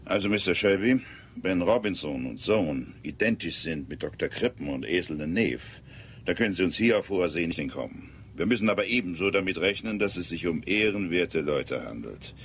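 An actor playing an authoritative, deliberate ship's captain in a German radio drama, with hesitant pauses. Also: male voice, low voice, lively intonation, slight background noise. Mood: firm, cautious. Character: gruff, measured male British ship's captain.

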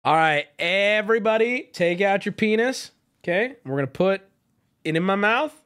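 A man streaming and reacting to a video, with crude, excitable joking commentary. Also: high-pitched, moderate pace, clear, resonant tone. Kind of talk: casual live-stream commentary.

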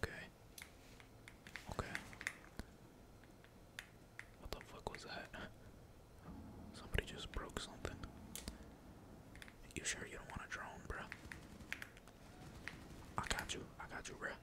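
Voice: measured pace, pauses often. Whispered ASMR gamer with keyboard clicks. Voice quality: breathy unvoiced whisper. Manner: slangy, swearing. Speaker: gaming video creator. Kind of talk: whispered ASMR gaming commentary, casual chat. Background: computer keyboard typing.

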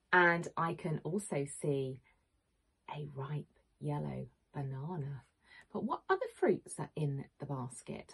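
A woman, a teacher reading a picture book to young children in an expressive, questioning manner. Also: low-pitched, low-quality recording. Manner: expressive, questioning, clearly addressing small children. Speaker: primary school teacher. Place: small home room with bookshelves, indoors.

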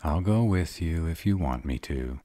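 A man's voice: very deep voice, expressive, normal speed, dark tone, no room sound. A man playing a sarcastic, guarded grown vampire who is insecure about love, in an audio roleplay. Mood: apologetic. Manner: sarcastic, wry.

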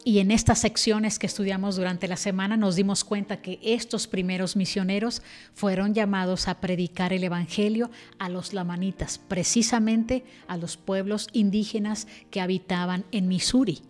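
A woman's voice: medium pitch, a little mellow. An older woman you would hear in an online scripture study video, earnestly reflecting from her desk with music playing underneath. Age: older, about 60. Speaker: religious teacher presenting a scripture lesson. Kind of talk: devotional scripture lesson to camera. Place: indoor room, seated at a desk. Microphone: desk microphone. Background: background music.

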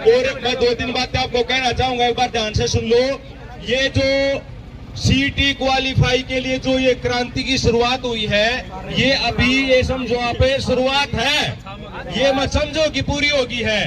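A man's voice: very high-pitched, little pitch movement, measured pace. A man urgently rousing a protest crowd through a handheld mic outdoors. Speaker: protest speaker, lawyer. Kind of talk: rally speech. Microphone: handheld microphone. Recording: noisy crowd and traffic.

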